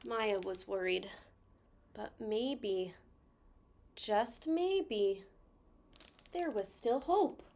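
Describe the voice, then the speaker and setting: A woman reading a children's story aloud expressively, stressing key words with deliberate phrasing. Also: mid-range voice, animated, a little mellow, a little hiss or hum.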